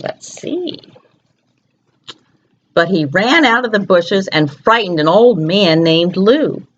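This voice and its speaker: A woman's voice: medium pitch, expressive, takes it slowly, lo-fi sound. A woman storyteller reads a picture book aloud to young children, expressively, often putting questions to her listeners.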